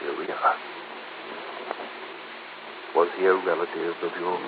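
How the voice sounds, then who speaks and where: A muffled, noisy vintage radio recording has a prim undertaker. The voice is very deep, with formal, hesitant phrasing and a fussy, easily flustered manner. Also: male voice, little pitch movement, a little slow. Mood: apologetic, formal. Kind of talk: old-time radio drama acting.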